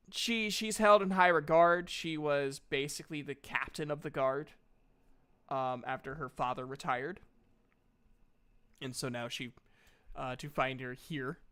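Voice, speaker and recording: A game master narrating a tabletop fantasy game in a halting, conversational way. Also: medium pitch, a bit bright, clear, resonant tone.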